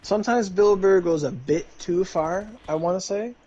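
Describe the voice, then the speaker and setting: A man talks loosely on a stream, circling his point with lots of fillers and restarts. His voice sits fairly high for a man. A faint background hiss runs under the recording. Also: moderate pace, warm tone, dull audio. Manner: rambling, many fillers and restarts. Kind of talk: casual stream chat.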